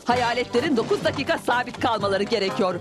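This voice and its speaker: A woman's voice: a little high, animated, fast talker, lightly acted. An actress playing an eccentric, bossy spiritualist ghost hunter in a cartoon dub, earnest and theatrical as she lectures. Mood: earnest, insistent. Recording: music behind the voice.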